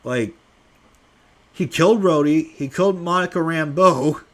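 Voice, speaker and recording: A man's voice: on the high side, measured pace. A young man casually answering fan questions at home, rambling with lots of fillers. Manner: rambling with many fillers, offhand. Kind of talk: casual live Q&A.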